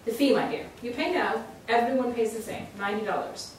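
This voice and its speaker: Casting: woman reader playing a blunt, businesslike female psychic, calm and sure of herself, heard in a very echoey room. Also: fairly high pitch, a little fast, lightly acted. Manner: calm, assured, matter-of-fact. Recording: echoey room, distant mic, faint noise.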